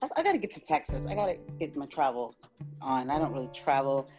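A woman hosting a podcast, relaxed and unhurried, easily amused. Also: very deep voice, over music. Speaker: podcast host. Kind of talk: casual podcast chat.

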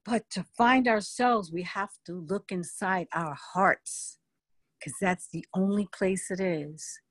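A soft-spoken woman giving a gentle inspirational talk in a storytelling tone. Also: medium pitch, no room sound. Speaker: participant.

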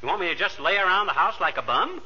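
An actor playing a restless, boastful husband with a blustery, folksy American manner in a 1940s radio comedy. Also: male voice, high voice, animated, fast, sharp tone, husky, full character voice. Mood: insistent. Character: restless, stubborn, boastful bumbling husband eager to fix things. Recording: dull, noisy vintage radio recording.

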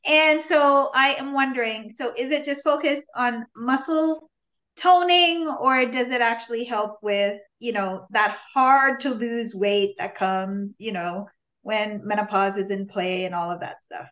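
A woman's voice: on the high side, measured pace, a bit bright. Chatty woman in her fifties, lo-fi. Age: 50s. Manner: chatty, frank, uses "you know" often. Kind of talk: casual conversational question. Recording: slightly dull lo-fi sound.

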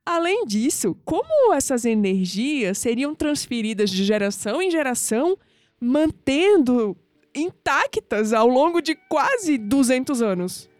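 A woman with a Brazilian accent acting in an audio drama as a skeptical, science-minded young woman who speaks in measured, formal phrases. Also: sits high, big pitch swings, a little mellow, no echo. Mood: skeptical, reasoning. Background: music behind the voice.

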